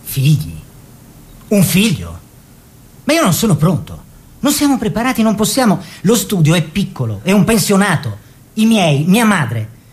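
Artist and mentor-lover: earnest, declamatory, measured, wide swoops from low to high, theatrical radio drama. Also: male voice, huge pitch swings, heavily acted. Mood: anxious. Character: earnest male painter, lover and teacher of his model.

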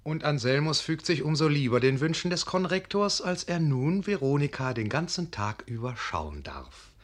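A man's voice: on the high side, sing-song, normal speed. A man narrating a literary fairy tale in a scripted, storytelling style for a radio play.

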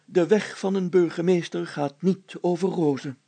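A man voicing a pompous, fussy, excitable town mayor in a story, exclaiming and stammering when flustered. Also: high voice, moderate pace, husky, no room sound. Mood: squeamish panic.

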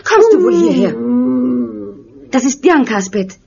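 A woman's voice: high-pitched, very animated, a little slow, crowd noise. An actress playing a bewildered, wistful mother in an audio drama, coaxing and pleading. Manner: coaxing, searching. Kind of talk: horror audio drama acting. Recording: dull, noisy old audio play.

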